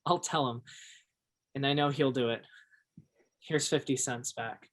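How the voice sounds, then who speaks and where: A man's voice: medium pitch, a little slow, fairly bright tone. A man acting a shy, naive, earnest young shop worker in a stage play, plainspoken. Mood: businesslike.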